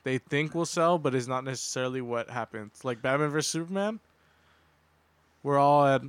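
A man's voice: medium pitch, normal speed, clean tone, no room sound. A man speaking casually as a panelist in a group podcast conversation.

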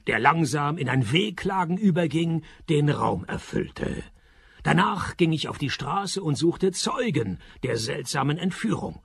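An actor playing a cynical private detective with dry, deadpan sarcasm in a radio play. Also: male voice, fairly high pitch, vocal fry, husky, no room sound, heavily acted. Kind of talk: radio play narration and dialogue.

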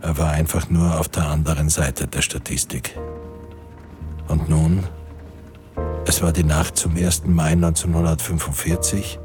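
Radio drama narrator: deep, measured, solemn literary delivery with light music beneath. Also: male voice, a little mellow.

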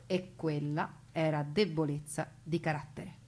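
A woman narrating a theatrical monologue in a dramatic, deliberate way, lingering over her lists. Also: low voice, steady tone, mellow, slightly rough. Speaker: stage actress and narrator.

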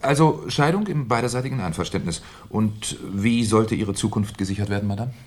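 A deep-voiced, shrewd police commissioner , played by a male actor. He is courteous and musing as he probes, in the style of a 1970s radio crime drama. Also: fairly quick, close to an everyday voice. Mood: probing. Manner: polite, musing, quietly probing.

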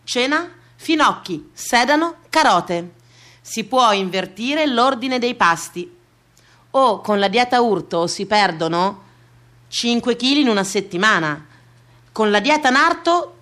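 A woman performing a theatrical comic monologue, expressive, with deadpan comic lists. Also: fairly high pitch, slow pace.

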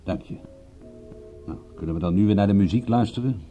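A man acting in a radio play as a stubborn, irritable private detective, gruff and curt, with a wry, principled streak. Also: fairly low pitch, a little fast, warm tone, dull audio, faint music behind, close to an everyday voice. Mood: needling.

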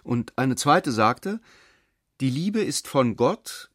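Contemplative male diarist, radio drama, measured slow delivery, mid pitch. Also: big pitch swings, a bit bright, dry recording, studio quality, heavily acted. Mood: musing. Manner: measured, reflective. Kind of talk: reflective literary monologue in a radio drama.

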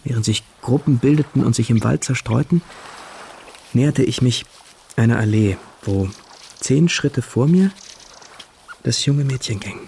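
An actor playing a lovestruck young male student who tells his story in hushed, hesitant literary narration for a radio play. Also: mid-range voice, unhurried, gravelly, pauses often, a little hiss or hum. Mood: wistful, dreamy. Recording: radio drama production with music behind.

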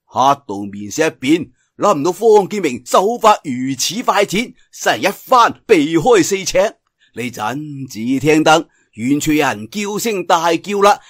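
A man's voice: a little high, big pitch swings, fairly bright tone, no room sound, studio quality. A man narrating a martial arts tale in theatrical, colloquial Cantonese storytelling style. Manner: theatrical, colloquial telling with drawn-out sentence particles. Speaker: audiobook storyteller.

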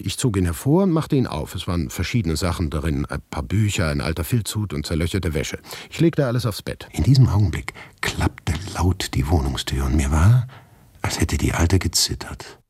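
A man playing an anxious, obsessive writer who narrates a macabre tale in a radio play, tense and deliberate, often dropping to a whisper. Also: low-pitched, darkish tone, slightly rough, dry recording, full character voice. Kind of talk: dramatic first-person literary narration in a radio play.

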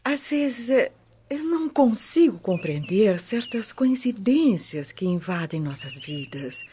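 A woman's voice: medium pitch, big pitch swings, slow, warm tone. An actress playing a troubled, disappointed Roman woman, a hesitant family friend, in a radio drama. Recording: muffled lo-fi audio with faint noise.

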